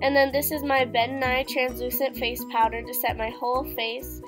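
A teenage girl with a high, nasal, congested voice talks through her beauty routine in a chatty way, full of "um" and "like". Music plays clearly under her narration. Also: little pitch movement, normal speed. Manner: chatty, with fillers like "um" and "like". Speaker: beauty vlogger. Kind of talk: casual get-ready-with-me voice-over. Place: home living room. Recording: music playing behind the voice.

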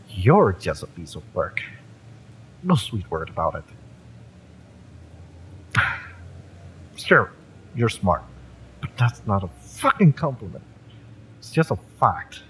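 A man's voice: lowish voice, a bit bright, slight background noise, heavily acted, rough recording. A man playing a fiery, bitter young mercenary in an audio roleplay, sardonic and taunting, with sighs and swearing. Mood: scornful. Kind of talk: romance audio roleplay acting, addressed to the listener.

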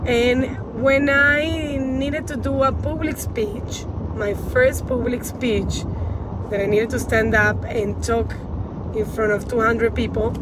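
A woman's voice: fairly high pitch, steady tone, slow pace. A woman in her thirties with a Spanish accent gives casual advice to the camera as a coach, repeating phrases for emphasis. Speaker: women's empowerment coach giving tips. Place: inside a car. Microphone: phone at arm's length. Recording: loud car and road noise.

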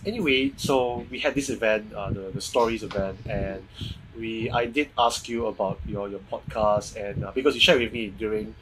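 Podcast host, 20s, male, Singaporean English, chatty and rambling, recorded with clear background hiss. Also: lowish voice, steady tone, moderate pace, few pauses. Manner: chatty, frequent restarts and fillers. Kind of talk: casual video-call chat. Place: home room with shelves. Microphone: earphone mic on video call.

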